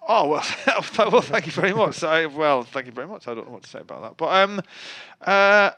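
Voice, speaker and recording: A man's voice: a little high, lively intonation, pushed and bright. Dry-witted middle-aged Mancunian man. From Manchester, England. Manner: dry, wry, halting with restarts. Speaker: guest musician. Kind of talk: casual interview chat.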